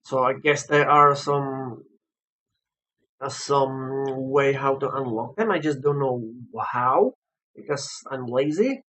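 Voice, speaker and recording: A man who is not a native English speaker gives a casual, unscripted game review, haltingly, often repeating and rephrasing himself. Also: medium pitch, fairly bright tone, rough recording.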